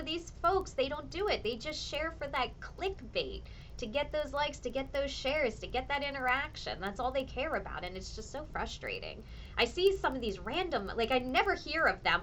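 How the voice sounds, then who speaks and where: A woman meteorologist with a slightly hoarse voice, chatting casually with viewers on her weather show. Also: high-pitched, a little hiss or hum. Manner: animated, ranting, chatty with viewers. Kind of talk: casual livestream chat answering viewers.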